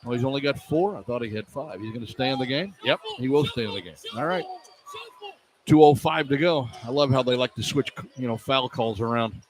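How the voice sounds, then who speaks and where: A man calling live basketball play-by-play for radio in clipped running descriptions that can rise into excited calls. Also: medium pitch, fairly quick, low-quality recording. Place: indoor arena at a live game. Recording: live radio broadcast with arena noise. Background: arena noise.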